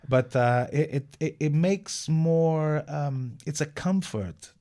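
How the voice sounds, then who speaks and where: A man from Portugal with a Portuguese accent, a guest musician chatting in an interview, lively and repeating words as he searches for them. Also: on the high side, slow. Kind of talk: casual interview chat.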